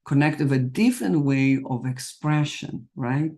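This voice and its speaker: A woman's voice: very deep voice, a little slow, dark tone. A middle-aged woman hosting a video-call interview, speaking English as a non-native speaker and searching for her words. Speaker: host. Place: home room with plants. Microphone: video call webcam.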